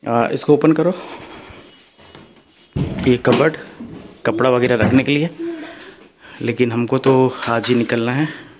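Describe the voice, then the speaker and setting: Man of ordinary pitch hosting a casual travel vlog, relaxed with lots of ums, phone audio with noise behind. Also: slow pace, pauses often. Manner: easygoing, with frequent hesitation sounds. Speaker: travel vlogger. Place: hotel room and roadside at night. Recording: phone recording with background noise.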